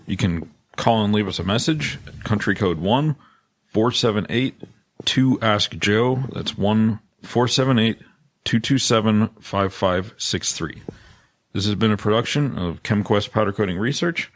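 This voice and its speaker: A man giving casual, slightly halting podcast outro announcements as a co-host. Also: lowish voice. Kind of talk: podcast outro announcements, then mock-dramatic recitation.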